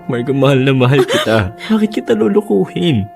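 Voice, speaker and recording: A man's voice: medium pitch, sing-song, a little fast, gravelly, loud music behind the voice. An actor playing a conflicted young man, an earnest boyfriend pleading emotionally in a Tagalog radio drama. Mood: desperate pleading.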